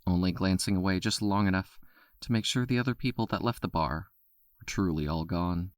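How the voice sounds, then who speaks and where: A man narrating an audio drama with a steady, scripted storytelling delivery. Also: low-pitched, brisk, no room sound, clean studio sound.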